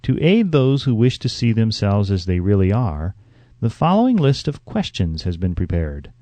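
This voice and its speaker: A man reading a self-help audiobook in a measured, instructive way, with carefully phrased scripted narration. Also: fairly low pitch, sing-song, dark tone, no room sound.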